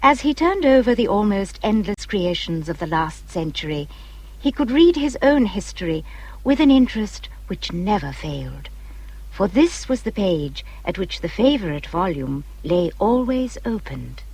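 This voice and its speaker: A woman with an English accent giving measured, scripted storytelling narration for a radio drama. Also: mid-range voice, big pitch swings, a little mellow, breathy edge, low-quality recording.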